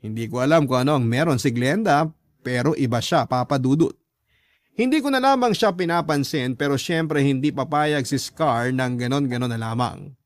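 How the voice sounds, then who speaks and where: A man's voice: mid-range voice, moderate pace, no room sound, studio quality. A man with a Filipino accent reading a first-person story as the narrator of a radio drama.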